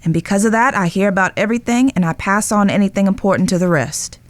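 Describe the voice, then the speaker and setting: A woman playing a guilt-ridden, lovestruck young outlaw spy in an audio drama, speaking earnestly and emotionally with pauses. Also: mid-range voice, dry recording, natural voice. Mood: confessional, wary.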